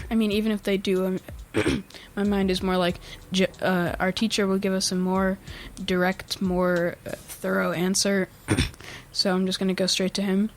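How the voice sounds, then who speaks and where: A teenage boy co-hosting a casual home podcast, his voice scratchy from just waking up, talking haltingly with many fillers and throat-clearing. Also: high-pitched, level tone. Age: teens. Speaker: podcast co-host. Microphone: home podcast microphone with mixer.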